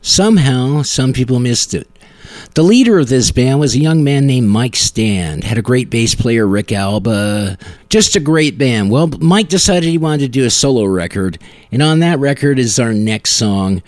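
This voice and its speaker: A man's voice: mid-range voice, expressive, moderate pace, darkish tone, no echo, studio quality. A man hosting a music show in a casual, off-the-cuff, conversational way. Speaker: podcast host.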